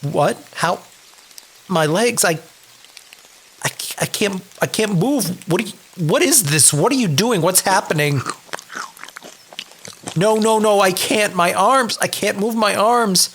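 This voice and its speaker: A man's voice: sits high, takes it slowly, pauses often, fairly bright tone, a little hiss or hum, full character voice. A man playing a desperate, foul-mouthed wasteland survivor in an audio drama, anguished and exasperated. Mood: terrified. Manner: anguished, exasperated, muttering to himself.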